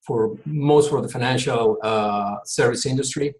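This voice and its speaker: A man's voice: medium pitch, a little slow, a little mellow, a little hiss or hum. A man from Peru, talking earnestly in a video call meeting. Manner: earnest, repeats words when emphasizing. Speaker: volunteer consultant. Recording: echoey room.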